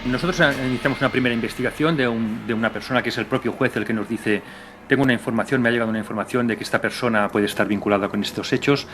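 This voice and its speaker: A man recalling police work in an interview, halting and circling back to rephrase. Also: mid-range voice, a bit bright, faint music behind. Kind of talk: interview recollection.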